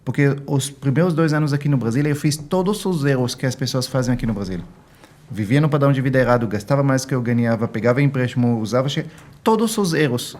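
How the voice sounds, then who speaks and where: Male interview guest, Israeli accent, mid-pitched, emphatic explainer who voices quoted dialogue. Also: quick pace, a little mellow. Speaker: guest financial consultant. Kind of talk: explanatory interview talk with anecdotes.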